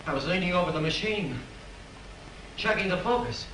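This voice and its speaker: An actor playing a bewildered, dazed projectionist, speaking haltingly in 1930s film style. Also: male voice, high-pitched, expressive, pushed and bright, a little hiss or hum. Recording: distant echoey 1930s film soundtrack.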